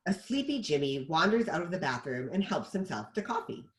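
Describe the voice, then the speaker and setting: A man's voice: on the high side, sing-song, brisk. A man narrating scripted stage directions as the narrator of a table read.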